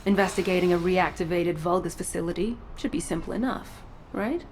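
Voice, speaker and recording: A woman's voice: lowish voice, brisk, a little mellow, slight background noise, close to an everyday voice. A woman playing a stern, secretive sci-fi intelligence operative in a video game, controlled, dry and coolly menacing. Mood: businesslike.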